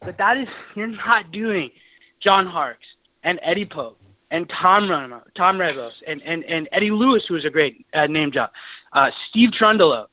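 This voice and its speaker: Thirties male caller, high-pitched and earnest, lots of restarts, dull phone-line sound, dry. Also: animated, normal speed, bright, forceful tone, no echo. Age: 30s. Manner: earnest, many restarts and fillers. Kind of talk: call-in radio talk.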